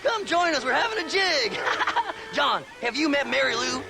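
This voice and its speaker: Shrill, crazed Southern treasure hunter. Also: male voice, sing-song, normal speed, loud music behind the voice, exaggerated voice. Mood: giddy. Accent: American Southern. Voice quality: high, creaky, cackling voice. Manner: manic, taunting, swinging between mutters and shrieks. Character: crazed grave-robbing treasure hunter, gleefully unhinged western eccentric. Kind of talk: video game western acting.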